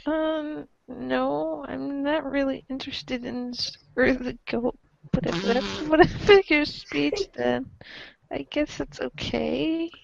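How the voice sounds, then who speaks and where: A woman voicing an awkward, easily flustered alien troll teen in an amateur comic script reading, halting and stammering. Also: high voice, steady tone, vocal fry, muffled recording, exaggerated voice. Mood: unsure. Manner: halting, stammering, full of ums.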